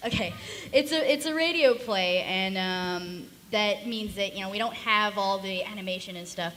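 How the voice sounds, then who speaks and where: A woman's voice: medium pitch, a bit bright. A woman speaking informally as host of a convention panel, casual and rambling, in a large hall. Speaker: panel host, series founder and voice actor. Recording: echoey noisy convention hall PA.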